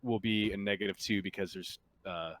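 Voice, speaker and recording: A man running a tabletop game, narrating off the cuff in a halting, conversational, explanatory way. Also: lowish voice, fairly even intonation, noisy background. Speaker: game master.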